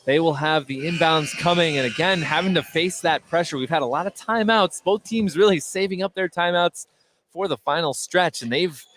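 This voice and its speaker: A man's voice: a little high, moderate pace. A man doing live play-by-play radio commentary of a basketball game, with short clipped calls that rise on big plays. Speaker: play-by-play sports announcer. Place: at a basketball game, gym noise behind. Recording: clearly noisy live game broadcast.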